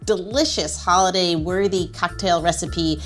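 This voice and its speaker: A woman hosting a podcast in a casual, expressive style, emphatic and prone to repeating her phrases. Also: fairly low pitch, a little slow, faint music behind. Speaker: podcast host.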